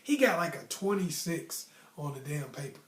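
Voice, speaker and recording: High-pitched American man telling stories, echoey room. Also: normal speed. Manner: animated, emphatic, slangy. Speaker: storytelling host. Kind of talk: casual personal storytelling with motivational advice. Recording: roomy, echoey sound.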